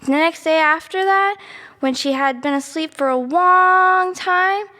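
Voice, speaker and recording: A woman's voice: very high-pitched, unhurried, sharp tone, full character voice. A woman playing a chatty, easily sidetracked little girl who rambles through a bedtime story with naive asides and self-corrections. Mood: earnest, chatty. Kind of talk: children's storybook monologue. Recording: long reverberant room echo.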